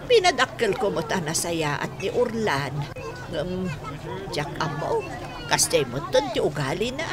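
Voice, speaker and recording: A woman's voice: on the low side, big pitch swings, measured pace, over a noisy crowd. An actress playing a meek, long-suffering older mother in a radio drama, plaintive and pleading. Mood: weary, sad. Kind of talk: Ilocano radio drama acting.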